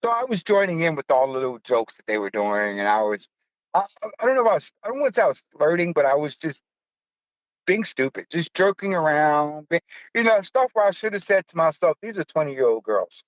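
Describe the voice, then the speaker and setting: A man's voice: on the high side, very animated, fairly quick, no room sound, lo-fi sound. A man in his fifties from the United States, a podcast host telling a personal story in a blunt, profane, self-mocking way. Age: 50s. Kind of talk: casual storytelling.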